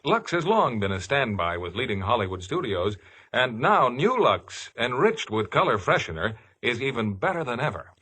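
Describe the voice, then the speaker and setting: A man's voice: medium pitch, sing-song, moderate pace, pushed and bright, breathy edge. A man working as a radio announcer, giving polished, promotional 1950s scripted announcements.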